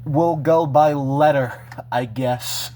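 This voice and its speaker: Male vlogger, casual show-and-tell, unhurried and halting, with some background noise. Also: a little high, steady tone, dark tone. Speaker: vlogger showing a personal collection.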